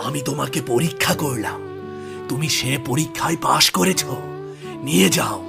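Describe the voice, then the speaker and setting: A man voicing a grave enchanted beast who guards a magic flower in a cartoon fairy tale, speaking in a gravelly, measured way. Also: fairly high pitch, animated, music in the background. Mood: solemn, approving.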